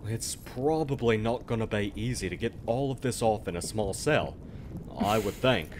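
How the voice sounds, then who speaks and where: Over a faint rumble of noise, a loyal pirate crewmate, voiced by an actor speaks in an ASMR roleplay. The delivery is folksy and placating, hesitant while coaxing. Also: moderate pace, natural voice.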